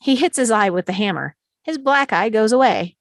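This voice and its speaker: A woman's voice: fairly high pitch, animated, moderate pace, no echo, studio quality. A woman reading scene directions as the narrator of a live script table read.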